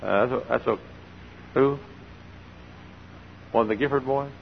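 Gravelly older preacher, echoing church. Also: male voice, medium pitch, a little slow, slight background noise. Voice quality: gravelly, froggy voice. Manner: swings from hollering exhortation to quiet storytelling, repeats phrases for emphasis. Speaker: pastor. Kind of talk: sermon. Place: large church sanctuary. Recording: dull old recording in a reverberant church.

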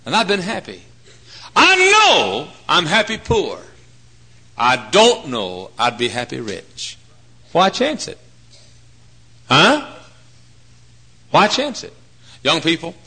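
A man in his forties preaching a folksy, humorous sermon, swinging between hushed asides and loud emphatic points. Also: on the high side, wildly swooping pitch, slow pace, gravelly, pauses often, slightly rough. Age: 40s. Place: church.

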